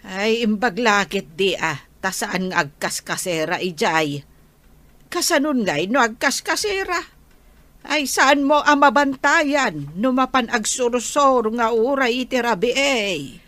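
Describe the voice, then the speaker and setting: A woman's voice: a little high, expressive, a little slow, a bit bright, no room sound. A woman playing a scolding, gossiping older village woman in an Ilocano radio drama, with an Ilocano accent. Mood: gossipy.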